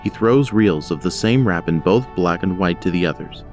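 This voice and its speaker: A man narrating a scripted audio drama in a measured, descriptive way. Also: sits low, animated, faint music behind.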